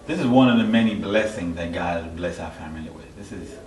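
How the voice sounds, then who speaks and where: Low-voiced middle-aged man, echoey noisy recording. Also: fairly quick. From Haiti. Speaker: interview guest, church member. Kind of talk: personal testimony, conversational. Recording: echoey, distant mic, clear background noise.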